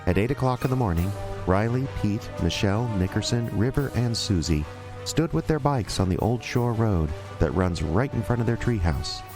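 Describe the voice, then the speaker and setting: A man acting as a wistful, wry storyteller, narrating a childhood adventure for a radio drama in a folksy, measured way. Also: lowish voice, animated. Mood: nostalgic. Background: music.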